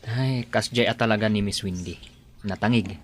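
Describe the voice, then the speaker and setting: A man's voice: on the low side, normal speed, close to an everyday voice. A man with a Filipino accent playing a chatty, teasing, obliging office underling in a radio comedy-drama. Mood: gossipy.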